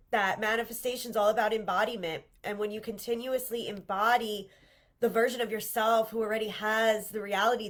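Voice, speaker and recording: A congested woman with a cold, leading a live-streamed manifestation workshop in an earnest, encouraging way and often restarting her sentences. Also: fairly high pitch. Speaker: manifestation coach hosting a livestream workshop. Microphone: livestream camera mic.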